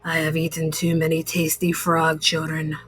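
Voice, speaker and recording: A woman's voice: low-pitched, monotone, normal speed, fairly bright tone, breathy edge, no room sound, voice pushed down. A woman voicing a menacing, hungry old male frog ghost in a children's storybook.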